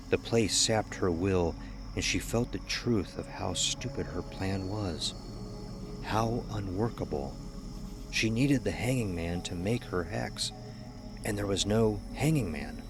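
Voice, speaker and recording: A man narrating a scripted horror story in a measured, ominous, dramatic storytelling manner. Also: low-pitched. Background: music under the voice.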